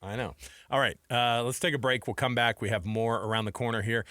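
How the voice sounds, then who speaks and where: A male sports radio host with a mid-pitched voice, recorded dry and close in a studio. He talks in a loose, informal, conversational style, chatting to listeners and his co-host like buddies. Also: steady tone, brisk, pushed and bright, dry recording. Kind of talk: casual sports talk radio.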